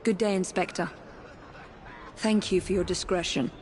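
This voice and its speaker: An English actress playing a serious, determined Victorian woman assassin investigating murders in a video game, speaking in a measured way. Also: lowish voice, steady tone, a little mellow, slight background noise, lightly acted. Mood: sober, resolute. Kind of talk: video game drama acting.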